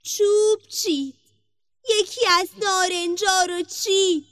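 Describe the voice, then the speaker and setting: A woman's voice: very high-pitched, a bit bright, dry recording, studio quality, voice pushed up. A woman voicing a magic tree that cries out in a chanting, repetitive alarm in a storybook tale. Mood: urgent alarm.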